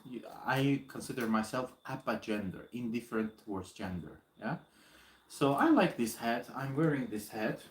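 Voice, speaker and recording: A man whose first language is Armenian, hosting a live video chat and retelling a story haltingly, with many fillers. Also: mid-range voice. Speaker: host. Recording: echoey room with faint noise.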